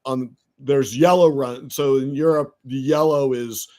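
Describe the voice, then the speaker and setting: Man, middle-aged, American accent, storytelling, chatty interview guest, even mid pitch. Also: a little slow. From United States. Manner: storytelling, many restarts and fillers. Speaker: podcast guest, entrepreneur. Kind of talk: casual interview conversation.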